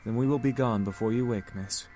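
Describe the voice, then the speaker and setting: A man's voice: mid-range voice, brisk, warm tone, faint music behind, lightly acted. A man voicing a composed, protective male incubus in a visual novel game, formal and polite. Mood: calm, polite.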